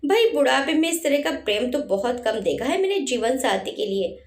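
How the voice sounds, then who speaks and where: A woman voicing a young newlywed woman doctor who teases gently in a playful way. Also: sits high, big pitch swings, moderate pace. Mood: teasing, admiring. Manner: light, playful teasing. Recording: roomy echo.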